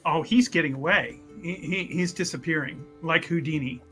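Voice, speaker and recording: A man's voice: on the high side, moderate pace, fairly bright tone, faint music behind. A man playing a tabletop roleplaying game, chatting casually about what his character is doing. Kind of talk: casual improvised game chat.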